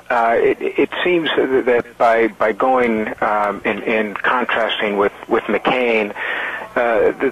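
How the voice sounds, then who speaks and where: A low, husky male voice comes through dull, lo-fi call audio. He is a reporter putting questions haltingly, restarting phrases as he feels his way through them. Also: fairly bright tone. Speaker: wire service reporter. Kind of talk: asking questions on press call. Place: telephone conference call.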